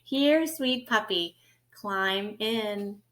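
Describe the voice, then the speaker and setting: A woman's voice: medium pitch, drawn-out words, fairly bright tone. A woman voicing a cheerful little girl who talks to her puppy in a coaxing, sing-song way.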